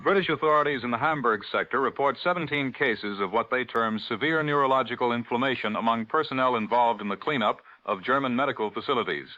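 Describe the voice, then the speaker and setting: Man acting a sharp, formal 1940s radio anchor. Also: medium pitch. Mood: grave, formal. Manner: formal, measured newsreader delivery. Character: grave 1940s radio news anchor, middle-aged man. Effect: old radio filter. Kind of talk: 1940s radio news reading. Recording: dull lo-fi vintage radio broadcast.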